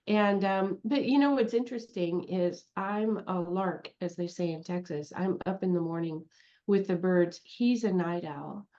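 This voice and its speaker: Animated middle-aged woman on a dull line. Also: lowish voice, unhurried. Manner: warm-humored, animated, laughs easily. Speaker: guest life coach. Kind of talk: casual interview chat. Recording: dull lo-fi sound.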